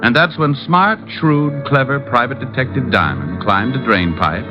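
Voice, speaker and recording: A man's voice: medium pitch, animated, normal speed, over music, lightly acted. An actor playing a wry, self-mocking male private detective who narrates his case in 1940s radio style. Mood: wry, brisk. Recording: muffled old radio broadcast, musical backing.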